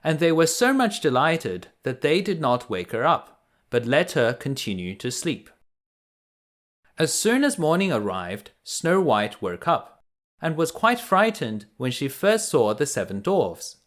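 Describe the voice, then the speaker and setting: Guy reading a bedtime fairy tale out loud, slow and measured, lots of expression in the pitch. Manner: measured, expressive read-aloud. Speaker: storyteller. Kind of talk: scripted bedtime story narration.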